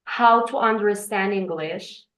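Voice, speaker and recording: A woman's voice: mid-range voice, unhurried, fairly bright tone. Hesitant woman, echoey room. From Iran. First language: Farsi. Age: 40s. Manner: hesitant, with many filler sounds. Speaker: guest, English teacher.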